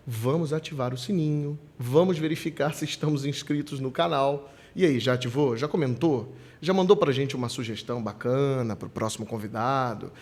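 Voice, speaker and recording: A man hosting a school podcast, speaking in an emphatic, lively presenter style. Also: fairly high pitch. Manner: lively, emphatic presenter delivery with drawn-out openings. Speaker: podcast host.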